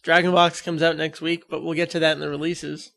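A man's voice: fairly high pitch, level tone, brisk, creaky, a bit bright. A young man hosting a podcast, chatting casually with a joking, playful manner. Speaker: podcast host. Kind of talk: casual chat.

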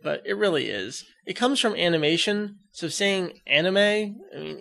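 Male podcast co-host, American, fairly high voice with heavy fry, chatty explainer in casual fan talk. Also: a bit bright. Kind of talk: casual discussion of anime fandom.

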